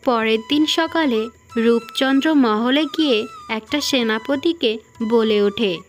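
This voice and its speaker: Unhurried Indian Bengali woman storyteller. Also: fairly high pitch, soft background music. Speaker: storyteller narrator. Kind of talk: scripted fairy-tale narration.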